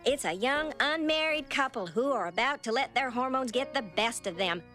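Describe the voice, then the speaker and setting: A woman voicing a sanctimonious, scaremongering religious woman in an animated sitcom. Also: high voice, moderate pace, music in the background. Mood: preachy mock concern.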